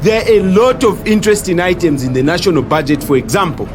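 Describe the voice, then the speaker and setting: Man playing a firm, high-voiced Zambian commuter. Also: fast, over music, natural voice. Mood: firm, informative. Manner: firm, corrective. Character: confident, knowledgeable male commuter correcting others. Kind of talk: acted audio drama dialogue.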